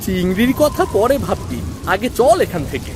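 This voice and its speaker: Protective young village boy played by a man, high voice, lively delivery, reassuring but a bit bossy. Children's cartoon. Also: normal speed, music in the background. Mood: urgent.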